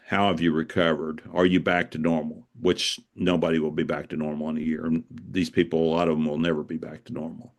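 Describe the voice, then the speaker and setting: A man speaking as an interviewed author, explaining things step by step in an informative, conversational way. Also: sits low.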